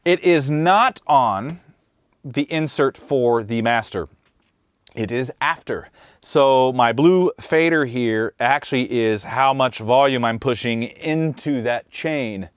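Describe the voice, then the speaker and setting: A man's voice: mid-range voice, takes it slowly, clean tone, no room sound. A man from Kansas, the host and drummer, giving an easygoing, conversational walkthrough of how he mixed a track in his studio. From Kansas, USA. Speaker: host, mixing engineer and drummer. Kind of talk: casual studio tutorial. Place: recording studio control room.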